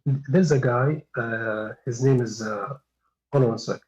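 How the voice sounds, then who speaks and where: Male caller, native Arabic speaker, hesitant, middle pitch, lo-fi audio. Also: unhurried, a little mellow. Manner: hesitant, many fillers and restarts. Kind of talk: live stream call.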